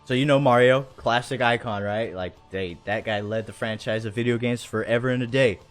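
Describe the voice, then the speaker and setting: A man's voice: mid-range voice, fairly even intonation, fairly quick. A man hosting a casual livestream chat in an enthusiastic, rambling, slangy way. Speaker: show host. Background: faint music.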